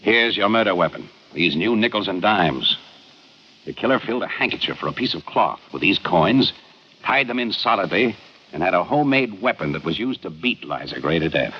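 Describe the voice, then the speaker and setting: An American actor playing a confident young homicide inspector in an old-time radio detective drama, authoritative and deliberate. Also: male voice, low-pitched, expressive, breathy edge. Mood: assured, deductive. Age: young adult. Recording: dull vintage radio recording.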